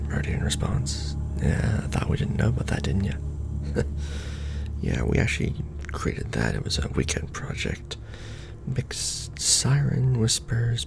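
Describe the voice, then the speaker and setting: A man playing a casually menacing secret-society recruiter in an ASMR roleplay, with a breathy, mostly whispered, gravelly voice and sardonic, conspiratorial patter, close on the microphone. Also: lowish voice, very noisy, full character voice. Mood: playful, sly. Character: casually menacing Illuminati recruiter onboarding a new initiate.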